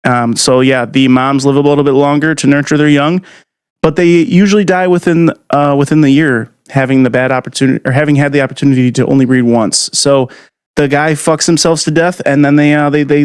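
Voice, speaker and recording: Loose, profane guy, slightly high voice. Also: fairly even intonation, fairly quick, clean tone, no room sound, clean studio sound. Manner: loose and profane, calls people dude, laughs often. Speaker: podcast co-host. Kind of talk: casual crude comedy chat.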